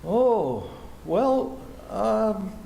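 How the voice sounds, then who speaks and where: A man's voice: high-pitched, very animated, extremely slow, creaky, dark tone, a little hiss or hum, heavily acted. A man playing a hesitant, earnest eighteen-year-old boy in love, in 1940s radio comedy style. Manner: hesitant, stammering.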